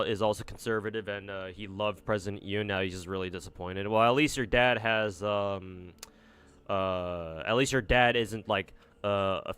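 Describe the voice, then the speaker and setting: A man's voice: on the low side, a bit bright, smooth. A young man with an American accent, chatting casually on a livestream while gaming, rambling and swearing with many restarts. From United States. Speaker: streamer.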